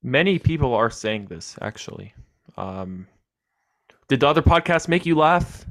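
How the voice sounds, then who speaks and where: A man's voice: mid-range voice, very animated, a little slow, a bit bright, dry recording, studio quality. A young man with a nasal voice hosting a chess podcast, joking and self-deprecating in casual chat. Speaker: podcast host.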